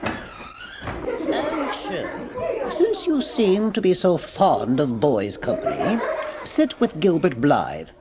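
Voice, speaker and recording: A woman playing a stern, exasperated male schoolmaster in an audiobook drama, giving sarcastic, commanding orders in a husky voice. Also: mid-range voice, huge pitch swings, slow, over a noisy crowd, heavy background noise.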